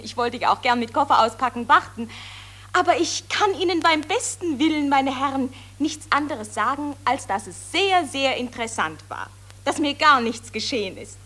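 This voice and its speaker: A celebrated, gracious stage actress in an old radio drama. The voice is high and lively, polished and effusive, staying politely firm. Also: female voice, normal speed. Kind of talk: 1940s radio acting.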